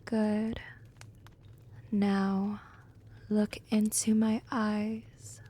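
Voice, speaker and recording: A woman voicing a gentle, teasing, seductive vampire hypnotist in a soft, breathy ASMR roleplay, coaxing and soothing. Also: medium pitch, little pitch movement, extremely slow. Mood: hypnotic, coaxing. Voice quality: soft, breathy, often whispering.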